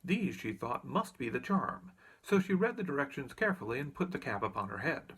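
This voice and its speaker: Animated, husky male storybook reader. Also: mid-range voice, fairly quick. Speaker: audiobook reader.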